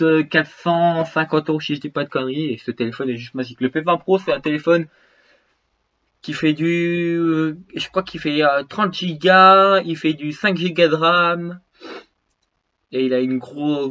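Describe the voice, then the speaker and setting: Casting: rambling vlogger with a cold, fairly high male voice, dull lo-fi audio. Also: fairly bright tone. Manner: rambling, restarts, slangy. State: has a cold, stuffy and short of breath. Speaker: YouTuber showing his gear. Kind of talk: casual vlog chat to viewers.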